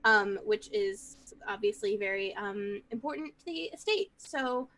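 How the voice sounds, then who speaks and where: A woman playing a tabletop roleplaying game, improvising in character in a halting, particular way. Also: mid-range voice, lively intonation, a bit bright. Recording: faint background noise.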